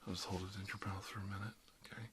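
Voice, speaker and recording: Deep-voiced man in casual ASMR roleplay. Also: level tone, racing, noisy background. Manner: wry, conversational, reassuring patter to a patient. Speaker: ASMR performer playing a dentist.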